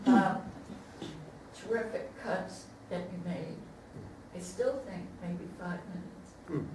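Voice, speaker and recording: A woman's voice: on the low side, slow, heavy reverb, background noise. A woman giving informal spoken feedback as an audience member at a talkback, picked up by a distant mic in a room. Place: indoor room at a staged reading talkback.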